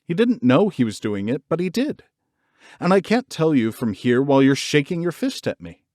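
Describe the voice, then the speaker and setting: A man's voice: very animated, normal speed, darkish tone, dry recording, studio quality. A man doing a stubborn young girl. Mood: protesting. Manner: high, urgent protesting. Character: obstinate young girl, sharp-tongued.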